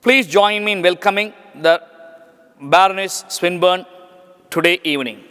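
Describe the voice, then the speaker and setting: High-voiced man introducing a guest formally. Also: moderate pace, sharp tone, clear, resonant tone. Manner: formal, reads titles in a list. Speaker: event chairman and host. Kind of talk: formal introduction and interview questions. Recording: reverberant hall with faint music.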